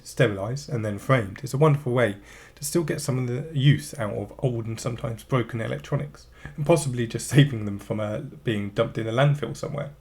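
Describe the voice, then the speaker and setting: A man's voice: medium pitch, gravelly, a little mellow. A man in his thirties hosting a retro gaming channel, chatting enthusiastically through an unboxing while he has the flu. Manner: chatty, enthusiastic product talk. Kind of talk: unboxing and product overview.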